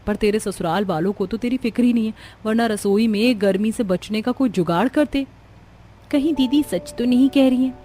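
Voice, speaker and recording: A young woman voicing an emotional married sister in a cartoon family drama, with expressive delivery. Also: medium pitch, close to an everyday voice. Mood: scornful.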